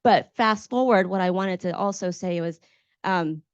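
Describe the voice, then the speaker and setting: A woman's voice: medium pitch, normal speed, clear, resonant tone, no room sound. American woman moderating, dry slightly dull sound. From Maryland, United States. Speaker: panel moderator. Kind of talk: conversational personal storytelling to an audience.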